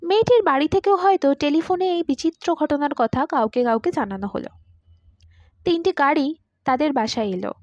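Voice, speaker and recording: A woman reading a literary short story aloud in an expressive, dramatised narrating style. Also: sits high, moderate pace, no echo, dull audio. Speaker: story reader.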